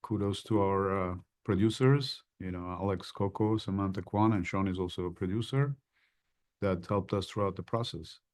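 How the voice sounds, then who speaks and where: A man with a low voice answers interview questions. He speaks in a measured, thoughtful way with a slightly formal tone. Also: darkish tone. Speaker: actor, interview guest. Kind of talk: casual interview talk.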